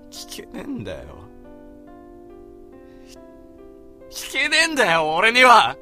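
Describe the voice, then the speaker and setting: A man playing a proud, frustrated high school boy in a voice drama, talking in blunt, casual slang. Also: wildly swooping pitch, normal speed, bright, forceful tone, soft background music, heavily acted. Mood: bitter, frustrated. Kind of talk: Japanese voice drama acting.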